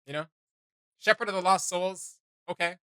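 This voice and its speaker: A man's voice: high-pitched, normal speed, sharp tone, clean tone, no echo, studio quality. A man hosting a reaction video with casual, off-the-cuff commentary, blunt and sarcastic as he rambles.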